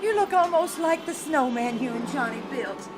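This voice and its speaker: Woman playing a high-voiced, protective radio-drama caretaker. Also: expressive, moderate pace, background noise. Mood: tender. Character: protective, anguished woman caring for a grieving little girl. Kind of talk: live re-creation of old-time radio drama.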